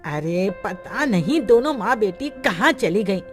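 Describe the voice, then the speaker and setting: A woman voicing a harsh, scolding older mother-in-law in a cartoon story, in a lower, contemptuous voice. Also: lively intonation, a little slow, faint music behind, natural voice. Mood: complaining. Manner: sharp, nagging and contemptuous.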